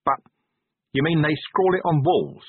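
A man's voice: fairly high pitch, measured pace, breathy edge, no room sound, phone-quality sound, pitched above the performer's own voice. A man voicing an earnest, literal-minded young male captain in a storybook reading.

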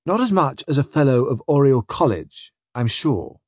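A man's voice: mid-range voice, sing-song, unhurried, breathy edge, dry recording. A man voicing a cowardly, toadying young man in an audiobook story, deferential and nervous. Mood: toady-like, meek. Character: cowardly, toadying young male narrator-rogue.

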